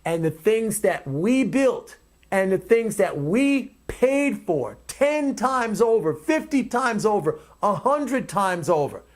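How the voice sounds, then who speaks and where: A man's voice: sits high, sing-song, slow. A man hosting his own commentary, speaking off the cuff in an emphatic, opinionated way. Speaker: commentator and host.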